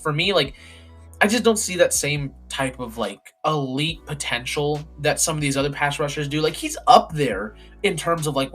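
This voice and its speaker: A hoarse young man hosting a casual sports chat, rambling with lots of fillers and restarts. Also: medium pitch, sharp tone. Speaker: podcast host doing a mock draft.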